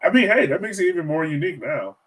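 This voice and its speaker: A man's voice: a little high, animated, fast talker, a bit bright. An American man interviewing a guest as podcast host in informal, slangy talk with plenty of "man" and "yo". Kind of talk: casual interview chat.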